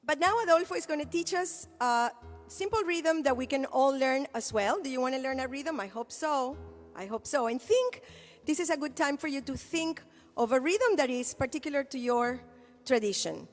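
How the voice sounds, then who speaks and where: A woman with a high voice hosts a sing-along concert for children as its singer. She is heard in a hall with long reverb and a little music. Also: lively intonation, moderate pace.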